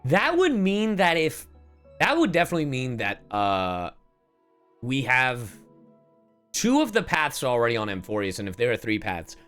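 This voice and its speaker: A man giving live gameplay commentary as a streamer, thinking out loud in a halting, exclaiming way. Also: medium pitch, huge pitch swings. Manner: musing, exclaiming, halting as they reason. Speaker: game streamer. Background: game music and dialogue.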